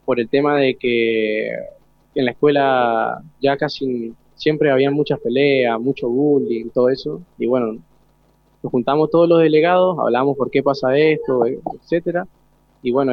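A man's voice: medium pitch, dry recording. A teenage male student with an Argentine accent, telling his experiences informally in an interview. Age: teens, about 17. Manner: informal, youthful storytelling. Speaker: student guest. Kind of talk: casual interview talk. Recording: tinny, phone-like live broadcast sound.

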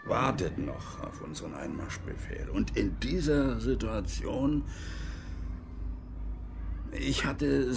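A man's voice: on the low side, vocal fry, darkish tone, slight background noise. An actor playing a stern, agitated older army major and father in a radio crime drama. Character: stern, agitated East German army major, father, recounting his past.

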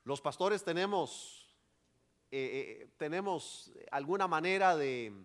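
A man preaching a sermon as pastor, swelling into emphatic lines and then dropping to quiet asides. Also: a little high, animated, slow pace.

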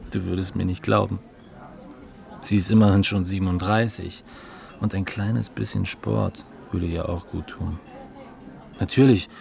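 An actor playing a cool, cynical male dealmaker in a German radio drama, taunting and deliberate, with a superior, mocking manner. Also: fairly low pitch, gravelly, darkish tone. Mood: smug, calculating.

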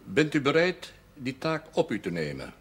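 Husky, formal elderly parish priest. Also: male voice, medium pitch, wildly swooping pitch. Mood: firm, authoritative. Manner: measured, formal speech sprinkled with French phrases. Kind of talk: 1970s television drama acting.

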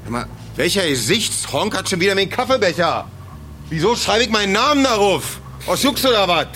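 An actor playing a brash, foul-mouthed, sarcastic police shift leader in a radio drama, gruff and commanding. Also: male voice, sits high, big pitch swings, a little fast, sharp tone, slight background noise, heavily acted. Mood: sarcastic, bossy. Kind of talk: German radio drama acting.

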